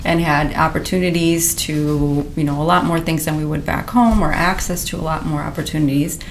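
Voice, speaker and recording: A woman's voice: sits low, steady tone, a little fast, vocal fry, slight background noise, poor audio. A young adult woman, an immigration attorney, talking candidly and laughing often as a podcast guest. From United States. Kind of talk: casual interview conversation.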